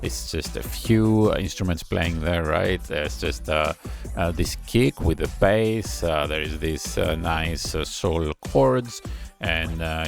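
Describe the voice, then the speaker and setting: A man's voice: low-pitched, vocal fry. A man hosting a music reaction channel, casually analyzing a track while thinking aloud and humming chords to explain. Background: faint song playing.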